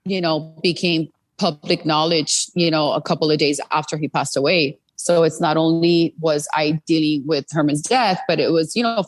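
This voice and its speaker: A woman's voice: on the low side, no room sound. A middle-aged American woman telling her personal story as an interview guest, earnest and full of "you know" fillers. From United States.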